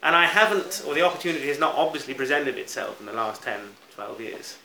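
A man's voice: mid-range voice, animated, fast, creaky, sharp tone. A British man preaching a sermon in an earnest, reflective manner, stressing key words as he goes. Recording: roomy echo, faint background noise.

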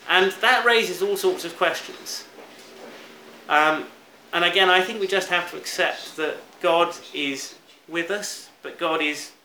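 A man's voice: high-pitched, measured pace, bright, forceful tone. A British man preaching a sermon in an earnest, reflective manner, stressing key words as he goes. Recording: roomy echo, faint background noise.

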